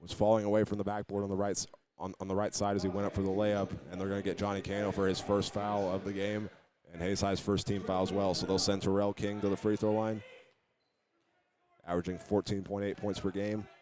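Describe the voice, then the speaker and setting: A man's voice: fairly low pitch, level tone, fairly quick, darkish tone. An American man calling a basketball game live as a play-by-play announcer, describing each move as it happens. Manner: running present-tense description of each move.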